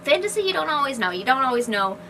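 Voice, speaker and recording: A woman's voice: sits high, big pitch swings, quick pace, sharp tone. A woman author talking casually and enthusiastically about her work, in a rambling, informal way. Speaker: fantasy author. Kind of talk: casual book discussion. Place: indoors in a bookstore. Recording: noisy room recording, background ambience.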